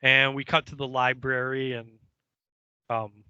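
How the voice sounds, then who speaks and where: A man with a very bright, tense voice talks through a casual recap, halting often and moving slowly. He is hesitant and loose with swearing. The sound is somewhat dull and echoey. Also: medium pitch, flat delivery, clear, resonant tone. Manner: halting, hesitant, foul-mouthed. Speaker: podcast co-host.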